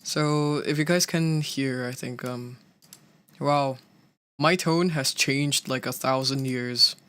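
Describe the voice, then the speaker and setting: Slow, halting streamer chatting casually. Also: mid-range voice, steady tone, fairly bright tone, smooth, dry recording. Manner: halting, many restarts and fillers. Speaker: streamer and cover singer. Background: faint background music.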